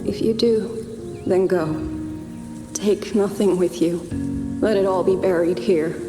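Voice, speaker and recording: Widowed young warrior mother for a game, female actor: solemn, resolute, slow delivery, long reverb. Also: mid-range voice, fairly even intonation, lightly acted. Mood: mournful, solemn. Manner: solemn, poetic, deliberate. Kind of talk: dramatic video game cutscene acting. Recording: long echoing reverb, loud music.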